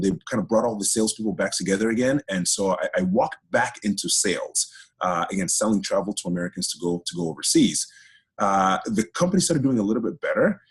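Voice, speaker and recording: Deep husky-voiced man, quick talk. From Ghana, grew up in Greece. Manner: chatty, many restarts and uh fillers. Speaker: guest, HR director. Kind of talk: casual interview talk.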